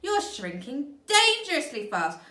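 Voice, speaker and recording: A woman's voice: sits high, huge pitch swings, a little slow, sharp tone, voice pushed up, full character voice. A woman voicing a sly, nasty old man who taunts his wife, in an echoey room. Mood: taunting. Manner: gloating, taunting. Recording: echoey room, mic at a distance.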